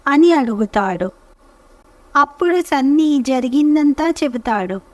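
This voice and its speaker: A woman narrating a scripted children's story as storyteller, with measured, careful phrasing. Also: high-pitched, expressive, no echo, distant mic.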